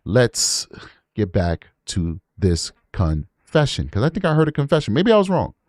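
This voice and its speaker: A man's voice: medium pitch, huge pitch swings, normal speed, darkish tone, no room sound, studio quality. A man hosting a true crime livestream, casually commenting on audio clips and repeating words for emphasis.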